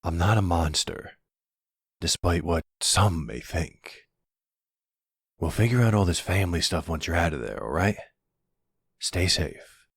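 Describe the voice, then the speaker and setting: A man playing a composed, protective mafia boss boyfriend in an audio roleplay, measured and earnest with a deep, husky voice. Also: animated, dry recording, clean studio sound, exaggerated voice. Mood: assured. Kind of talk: ASMR audio roleplay drama.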